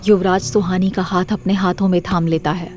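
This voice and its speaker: A woman narrates a scripted horror tale. Her voice is on the low side and very even in pitch. Soft music runs behind the reading. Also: a little fast.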